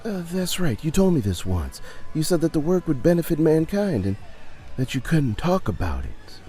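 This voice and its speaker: A man's voice: on the high side, very animated, moderate pace, dark tone, soft background music, pitched above the performer's own voice. A man voicing a patient pub manager and loyal friend, coaxing and insistent, in an audio story.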